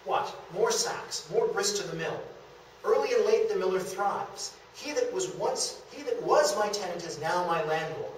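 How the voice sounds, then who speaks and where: A man's voice: sits high, lively intonation, normal speed. A man reading the part of a broken-hearted, ruined miller in a period stage melodrama, in a declamatory theatrical manner. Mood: bitter lament. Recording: echoey room, distant camera, background hiss.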